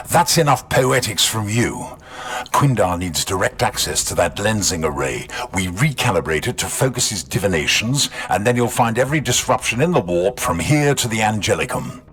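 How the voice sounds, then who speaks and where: An actor playing a gruff, sardonic tech-specialist space marine with a dry, blunt manner in a game cutscene. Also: male voice, fairly low pitch, animated, normal speed, fairly bright tone. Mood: brusque. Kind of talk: video game cutscene acting.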